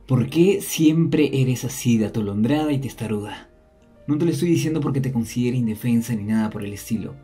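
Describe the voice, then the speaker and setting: Guy playing earnest stubborn young man scolding someone, dark husky voice, music behind. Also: mid-range voice, fairly quick, natural voice. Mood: exasperated, earnest. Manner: insistent, admonishing. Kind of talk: ASMR audio drama acting.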